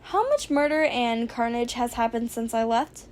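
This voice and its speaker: A sarcastic teenage boy hacker played by a woman in a fan fiction audio story. The voice is high and casual, with a snarky, deadpan edge and a flair for drama. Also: normal speed, natural voice. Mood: casual, reluctant.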